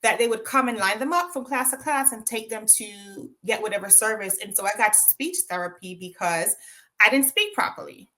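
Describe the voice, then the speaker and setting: Bright-voiced Jamaican woman recounting her childhood. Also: medium pitch, moderate pace. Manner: reflective, with frequent ums and tag questions of "right?". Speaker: guest. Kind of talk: personal storytelling in an interview.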